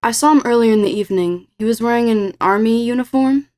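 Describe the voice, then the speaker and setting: An actress playing the devil's daughter, a self-possessed and predatory succubus, in an audio drama. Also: female voice, on the high side, fast, darkish tone, lightly acted. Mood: casual, curious.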